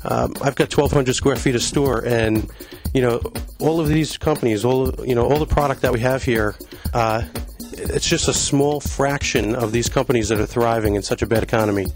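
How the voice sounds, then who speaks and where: A man's voice: mid-range voice, moderate pace, few pauses. A man in his thirties from Long Island giving a casual, filler-heavy walkthrough of his store, speaking into a handheld mic. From Long Island, New York. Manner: conversational, many fillers and restarts. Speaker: store owner giving a tour. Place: indoor retail store. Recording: background music in a shop. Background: music playing in the store.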